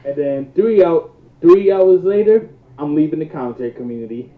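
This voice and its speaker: A man's voice: fairly high pitch, expressive, moderate pace. A man co-hosting a casual commentary chat in a blunt, mocking way. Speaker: commentary co-host. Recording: tinny phone-like line with echo.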